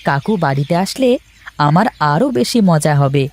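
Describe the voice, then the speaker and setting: An eager little village boy from a cartoon tale , acted by a man. The voice is high and childlike, keen and full of simple wanting. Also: expressive, unhurried. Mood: excited. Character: young village boy, eager, loves chocolate and his uncle. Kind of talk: cartoon story dubbing.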